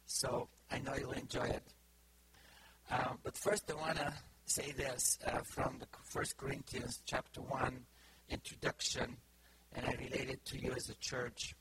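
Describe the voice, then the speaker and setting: A middle-aged man from Bulgaria with a Bulgarian accent, giving an informal sermon to a congregation, pausing often with fillers and restarts. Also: mid-range voice, flat delivery, noisy background. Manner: halting, many fillers and restarts. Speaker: preacher.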